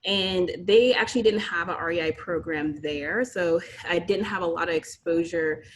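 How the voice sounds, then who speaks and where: A woman fertility fellow introducing herself warmly on a webinar, eager and chatty with fillers. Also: on the low side, normal speed, slight background noise.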